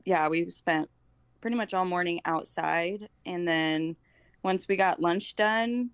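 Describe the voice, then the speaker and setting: A woman with a slightly low voice talks casually as a podcast guest. The sound is dry, without room echo. Her phrasing is informal and hesitant, strung together with filler words. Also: slow, smooth. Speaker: podcast guest, homeschooling mother. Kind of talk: casual chat.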